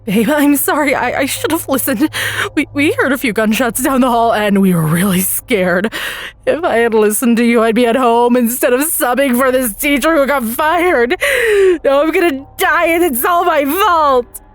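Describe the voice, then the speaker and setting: A woman's voice: sits high, moderate pace, lightly acted. A woman voicing a male substitute teacher who is distraught and pleading on a phone call in an audio story. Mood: hysterical regret.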